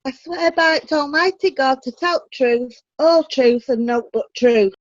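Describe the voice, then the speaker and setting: High-voiced, blunt Yorkshire widow played by a woman. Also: measured pace, dry recording, muffled recording. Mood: blunt, indignant. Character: blunt, fierce working-class Bradford widow giving evidence. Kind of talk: comic courtroom play acting.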